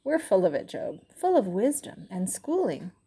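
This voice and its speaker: Woman voicing smug friends, mocking, sing-song, lively, breathy. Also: mid-range voice, normal speed. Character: smug scolding friends of the sufferer.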